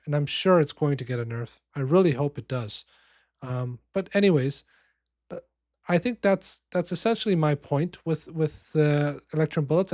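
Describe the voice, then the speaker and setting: A man with a hoarse voice from being sick, giving loose casual commentary on a strategy game and often restarting his phrases. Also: on the high side, a little mellow, no room sound, studio quality. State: hoarse, losing voice from illness.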